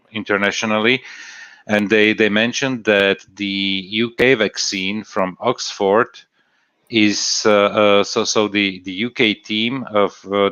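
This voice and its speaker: A man's voice: on the low side, a bit bright, clean tone, no room sound. A man from Slovakia chatting casually on a stream, answering a chat question with many fillers and restarts. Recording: dull lo-fi stream audio.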